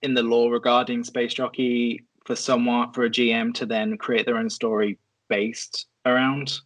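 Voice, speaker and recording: A man's voice: mid-range voice, little pitch movement, normal speed, a bit bright, low-quality recording. A man hosting a casual discussion podcast and putting questions to the panel. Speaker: podcast host.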